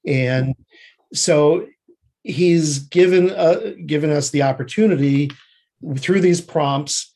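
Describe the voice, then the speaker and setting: Reflective man with a slightly high voice. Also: slow, warm tone. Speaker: interview guest, screenwriter and TV creative services producer. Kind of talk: conversational interview answers.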